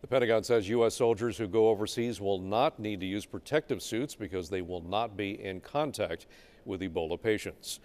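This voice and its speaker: A man's voice: fairly low pitch, moderate pace, a little mellow. American male TV news anchor. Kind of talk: scripted newscast delivery.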